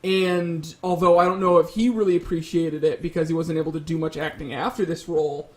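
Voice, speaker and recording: A man with a fairly high voice talks as a panelist on a casual debate show. He builds wordy arguments with plenty of hedging along the way. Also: fairly even intonation, a little fast. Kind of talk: casual pop-culture debate.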